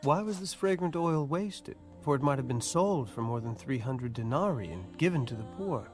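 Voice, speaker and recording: A man's voice: medium pitch, lively intonation, moderate pace, lightly acted. Man acting indignant onlooker, music behind. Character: indignant male onlooker scolding about waste. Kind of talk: dramatized audio Bible. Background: background music.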